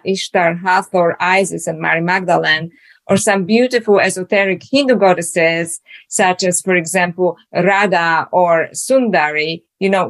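A woman's voice: fairly low pitch, fairly bright tone. A woman from Poland with a Polish accent, speaking as a guest author in a podcast interview, reflective and searching, with many fillers and restarts.

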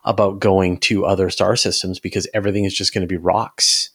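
A man's voice: low voice, little pitch movement, brisk, husky. A man talking casually about science as an interview guest, emphatic and repeating words to drive points home.

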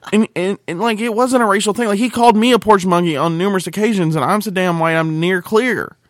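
A man's voice: high voice, fairly quick, no echo. An American man co-hosting a casual podcast, talking in a blunt, crude, colloquial way. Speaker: podcast co-host.

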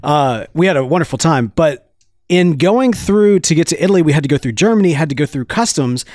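A man in his thirties hosts a relaxed chat show with a rambling, joking delivery. His voice sits a little high and swings freely up and down. The recording is dry and close, with no room sound. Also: a little fast, no echo. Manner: rambling, jokey storyteller. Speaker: podcast co-host. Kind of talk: casual chat.

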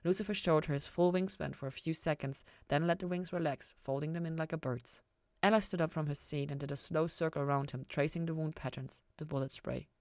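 A woman narrates scripted fiction. Her voice sits low for a woman. The sound is dry, with almost no room around it. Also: fairly even intonation, brisk, a little mellow, no echo. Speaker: fan fiction reader, podcast host.

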